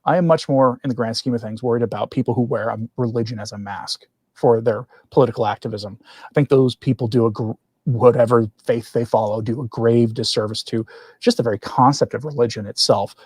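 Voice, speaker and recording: A man's voice: mid-range voice, steady tone, a little fast, a little mellow, slightly rough, no echo. A man with a mid-range voice delivers opinionated religious commentary as a livestream host. He sounds earnest, with a wry streak in his asides. The recording is dry, with very little room sound. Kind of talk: opinionated commentary, partly reading quoted text aloud.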